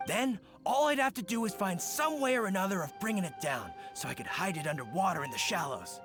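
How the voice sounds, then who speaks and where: A man's voice: high voice, lively intonation, fairly quick. A man voicing a dim but eager young apprentice thief in a video game, stammering and folksy. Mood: naive scheming. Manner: hesitant, stammering, folksy slangy speech. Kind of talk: English video game voice acting. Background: game music.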